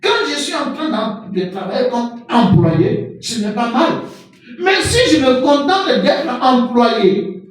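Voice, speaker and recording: A man preaching a sermon as pastor, animated and full of everyday examples. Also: extremely high pitch, slow pace. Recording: echoey hall from far off with music.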